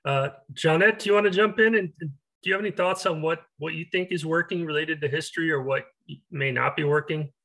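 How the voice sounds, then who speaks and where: A man's voice: a little high, fairly quick, a bit bright, low-quality recording. A man facilitating a meeting over a video call, encouraging and inviting others to speak.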